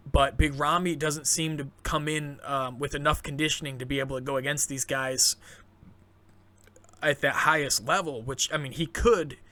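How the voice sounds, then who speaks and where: A man with a bright, slightly high voice talks casually to his viewers as a fitness host. He's chatty and enthusiastic, restarting sentences and filling pauses with "um." His voice has gone a bit scratchy. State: voice going scratchy. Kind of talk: casual commentary to camera.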